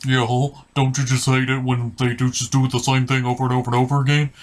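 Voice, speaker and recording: A man's voice: mid-range voice, completely flat delivery, fast, lightly acted. A man playing a glum, needy Satan who talks hesitantly and meekly in a comedy skit. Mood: chatty grumbling. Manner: hesitant, meek. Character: glum, needy, mild-mannered male Satan.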